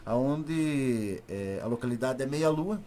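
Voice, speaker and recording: A man from southern Paraná with a rural southern Brazilian accent, speaking as a politician being interviewed, emphatic and halting, repeating words and asking rhetorical questions. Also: medium pitch, animated, mellow, a little hiss or hum. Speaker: state deputy, interview guest. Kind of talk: political interview, spontaneous speech.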